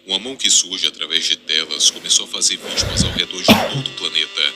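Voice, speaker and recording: Brazilian man, low-pitched voice, scripted storytelling, video narrator. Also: fast, over music.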